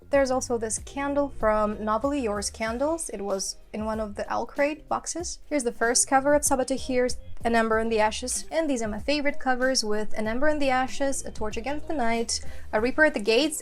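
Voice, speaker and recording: Chatty woman with a high voice. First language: Kazakh. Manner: chatty, listing titles, laughing now and then. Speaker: book vlogger. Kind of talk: casual bookshelf tour narration. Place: home room with bookshelves. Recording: faint music under voice. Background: soft background music.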